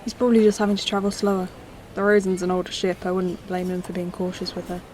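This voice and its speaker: Woman playing a calm, gentle newcomer. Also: fairly low pitch, quick pace, slight background noise, close to an everyday voice. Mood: gentle, reassuring. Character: gentle, reassuring woman posing as an android. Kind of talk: audio drama acting.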